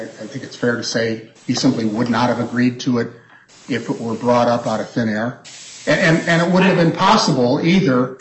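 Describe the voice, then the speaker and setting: Halting male attorney in an echoey court. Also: medium pitch. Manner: halting, repeats words and restarts. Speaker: appellant's attorney. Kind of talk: appellate oral argument. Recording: roomy courtroom echo.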